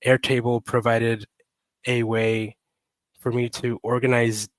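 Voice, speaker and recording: A man in his thirties talking slowly with frequent pauses, slightly raspy from a cold, as a podcast guest on a headset at home. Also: medium pitch, flat delivery, dry recording. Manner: slow, deliberate, with many pauses and fillers. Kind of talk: casual interview. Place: home room, indoors.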